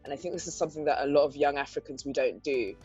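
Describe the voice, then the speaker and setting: A woman's voice: deep voice, racing, darkish tone, slightly rough. Thoughtful English woman with a London-area accent. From England. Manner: thoughtful, articulate, frequent "um" fillers, light laughs. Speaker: guest entrepreneur. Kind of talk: conversational interview answers. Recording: dull lo-fi audio with faint guitar music.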